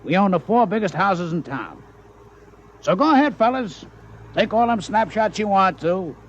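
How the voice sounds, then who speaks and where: A smug gambling-house boss in old radio drama style, with a fairly high voice. He talks tough and slangy, mocking whoever he faces, through a dull, noisy vintage recording. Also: sing-song, moderate pace. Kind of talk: 1950s radio acting.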